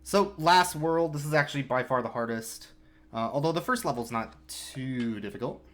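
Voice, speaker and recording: A man's voice: mid-range voice, animated, moderate pace. A young man casually commentating his speedrun of a game, explaining tricks with wry, self-mocking asides. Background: game music.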